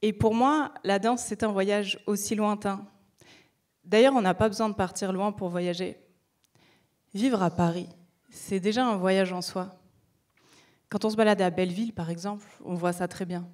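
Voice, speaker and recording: A young woman founder giving a prepared stage talk, measured, with rhetorical questions and light humor. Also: medium pitch, steady tone, a little mellow. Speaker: project founder presenting.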